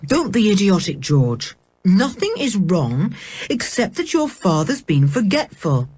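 A woman plays the sensible eldest boy in a children's storybook. The delivery is brisk, reasonable and a little bossy, with animated pitch and a slightly breathy edge in a dry sound. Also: dry recording, full character voice. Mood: impatient. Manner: brisk, reasonable, mildly impatient. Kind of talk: children's audiobook character voice.

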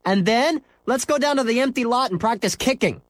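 A man voicing a bossy, shrill young boy. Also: lively intonation, brisk, no echo. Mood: eager. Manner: shrill, demanding, accusing.

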